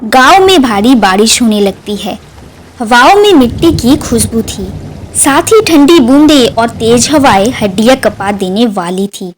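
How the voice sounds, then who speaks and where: A woman's voice: on the high side, expressive, a little slow, slight background noise, poor audio. A woman narrator reading a scripted moral story for a cartoon.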